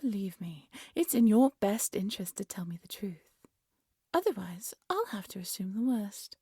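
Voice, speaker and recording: A woman playing a haughty, cruel evil queen in a fairy-tale audio drama, speaking in an imperious, condescending way with a breathy voice. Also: medium pitch, very animated, moderate pace, mellow, no room sound, clean studio sound. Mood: menacing, silky. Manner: imperious, scolding, condescending, theatrical.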